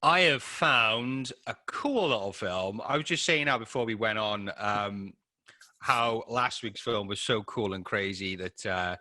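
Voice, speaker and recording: A man's voice: lowish voice, unhurried, sharp tone, dry recording. A man in his late thirties chatting casually and a little hesitantly as he co-hosts a film review. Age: late 30s. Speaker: podcast co-host and filmmaker.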